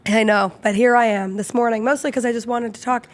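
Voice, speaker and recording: A woman with laryngitis, hoarse, hosting a casual sports talk show with dry humor. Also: fairly high pitch, steady tone, fairly quick. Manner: dry, playful. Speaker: sports radio host.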